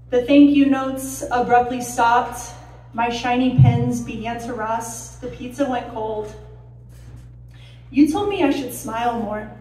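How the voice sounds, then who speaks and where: A woman reciting slam poetry in a deliberate, dramatic, emotionally intense way, with pauses and emphasis. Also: a little high, a little mellow. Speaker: slam poet. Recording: roomy echo, distant mic.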